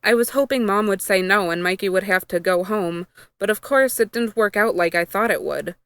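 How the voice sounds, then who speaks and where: A woman's voice: medium pitch, moderate pace, a bit bright, clean tone, dry recording. A woman narrating a first-person story, reading from a script as she goes. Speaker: fan fiction reader.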